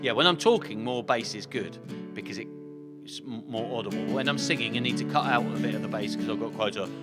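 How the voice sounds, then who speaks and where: A man's voice: mid-range voice, animated, a little fast, music in the background. A man hosting a singing group livestream, chatting casually to viewers in a rambling, self-deprecating, jokey way, speaking into a talking mic at a home setup. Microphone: talking microphone beside a separate vocal mic.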